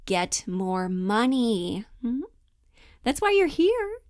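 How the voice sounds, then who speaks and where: A woman's voice: fairly high pitch, sing-song, slow pace, a little mellow, dry recording, clean studio sound. A woman playing a pushy, falsely upbeat Hollywood talent agent in a comedic monologue, coaxing, flippant and over-reassuring. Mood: brisk, coaxing. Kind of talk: dramatic audition monologue, comedic.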